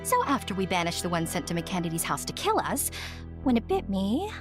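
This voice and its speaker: A woman's voice: mid-range voice, very animated, brisk, lightly acted. A woman playing a defiant, self-assured young witch who narrates and acts in a horror-comedy audio drama. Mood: defiant, confident. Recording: music behind the voice.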